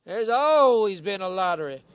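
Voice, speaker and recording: A cantankerous American old-timer for radio drama. His voice sits extremely high and swings widely as he scolds in a folksy, scornful way. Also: very animated, moderate pace, clear, resonant tone. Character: crotchety old village grandsire, scornful defender of tradition.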